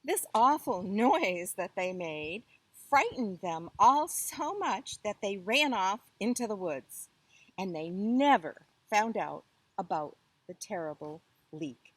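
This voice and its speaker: A woman reads a children's story in a husky, expressive voice. She phrases slowly and deliberately for drama, with lively movement in pitch. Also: no echo, rough recording.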